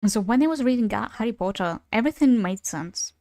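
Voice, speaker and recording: A woman's voice: a little high, animated, a little fast, dark tone, no echo, clean studio sound. Chatty young woman streamer, Ukrainian native. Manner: chatty, swears casually, laughs between thoughts. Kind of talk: casual gaming stream chat.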